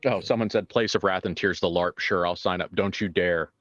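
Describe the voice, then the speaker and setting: Low-voiced man hosting, slightly dull sound. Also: fairly even intonation, brisk, a bit bright, no room sound. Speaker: game master and stream host. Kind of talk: casual stream chat with announcements. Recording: dull dry sound over music.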